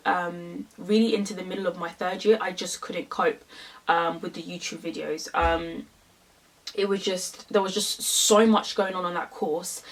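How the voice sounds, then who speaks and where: A woman's voice: fairly low pitch, distant mic, rough recording. A woman in her twenties from London with a London accent, chatting casually through a makeup tutorial with lots of fillers. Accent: London English. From England. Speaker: beauty vlogger.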